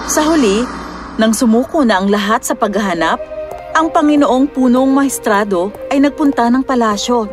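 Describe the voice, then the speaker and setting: Female storybook narrator over music. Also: a little high.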